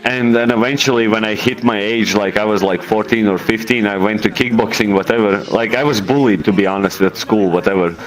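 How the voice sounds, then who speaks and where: Low-voiced Latvian man, halting. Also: steady tone, poor audio. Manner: halting, gropes for words, swears freely. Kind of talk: casual chat, telling a personal story.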